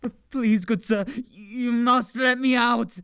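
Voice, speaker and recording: A frantic, stammering asylum inmate. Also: male voice, very high voice, steady tone, takes it slowly, gravelly, fairly bright tone, smooth, full character voice. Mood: desperate pleading. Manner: desperate, stammering, crying out. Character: frantic male asylum inmate, terrified and pleading.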